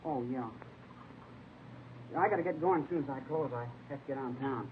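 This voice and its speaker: A man's voice: mid-range voice, normal speed, close to an everyday voice. A man playing a jumpy, evasive eighteen-year-old soda fountain boy in a vintage radio drama. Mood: nervous, evasive. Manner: jumpy, evasive, short answers. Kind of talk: 1950s radio drama acting. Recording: tinny, faintly noisy vintage radio recording.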